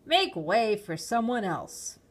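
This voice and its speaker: A woman voicing a rough, impatient male shopkeeper who shouts, in a storybook reading. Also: mid-range voice, big pitch swings, takes it slowly. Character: impatient, gruff male bean seller.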